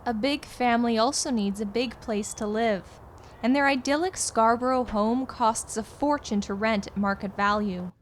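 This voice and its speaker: A woman reporter giving scripted news narration in a measured, clear delivery as a voice-over. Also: on the high side, slight background noise.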